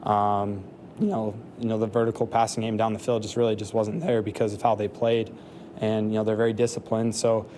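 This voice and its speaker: Young athlete at the podium, soft-spoken, mellow low voice, lots of fillers. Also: male voice, completely flat delivery, brisk, a little hiss or hum. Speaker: football player at a press conference. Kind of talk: postgame press conference answers.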